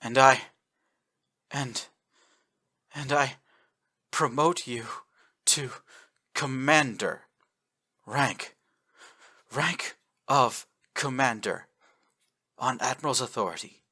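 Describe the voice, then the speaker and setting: A man voicing a dying admiral, speaking in a soft, raspy, plodding voice that stalls and breaks off between words. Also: medium pitch, pitched above the performer's own voice, exaggerated voice. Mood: resigned. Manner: slow, halting, quiet, breaking off mid-sentence. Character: aging male admiral, dying, fading over comms.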